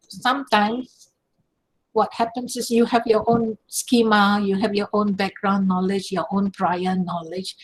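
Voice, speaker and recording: A woman's voice: mid-range voice, low-quality recording. An older woman from Malaysia giving an online lecture, answering questions with examples. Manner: explanatory, uses examples and gestures. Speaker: guest lecturer. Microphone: video call.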